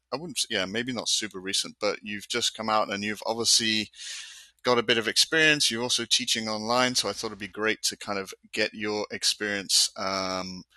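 Bright-voiced man hosting a casual live talk. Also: fairly low pitch, no echo, low-quality recording. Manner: relaxed, rambling, frequent fillers and laughs. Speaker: host of an architecture talk. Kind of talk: casual live online discussion.

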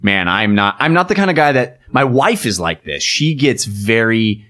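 A man's voice: lowish voice, big pitch swings, moderate pace, bright, forceful tone. A man co-hosting a podcast, chatting casually in a wry, playful way. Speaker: podcast co-host. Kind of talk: casual comedic chat.

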